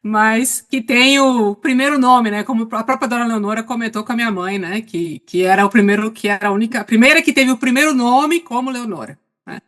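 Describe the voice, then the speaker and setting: A middle-aged woman with a Brazilian accent chatting casually as a guest, halting as she searches for words. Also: fairly high pitch, a bit bright. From Salvador, Brazil. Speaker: podcast guest. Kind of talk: casual autobiographical chat.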